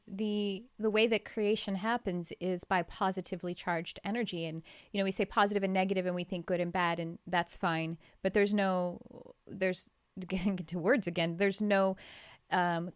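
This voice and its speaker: A woman guest on a podcast, talking in an animated way, laughing often and restarting her sentences. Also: medium pitch, smooth, no echo, clean studio sound. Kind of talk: casual conversational chat.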